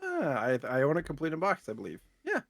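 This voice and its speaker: A man's voice: on the high side, wildly swooping pitch, quick pace, no echo, studio quality. A man co-hosting a casual retro gaming podcast, speaking conversationally. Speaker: podcast co-host.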